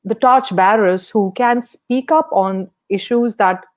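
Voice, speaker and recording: A woman's voice: medium pitch, takes it slowly. A woman hosting a podcast interview, encouraging and complimenting her guest. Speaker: podcast host.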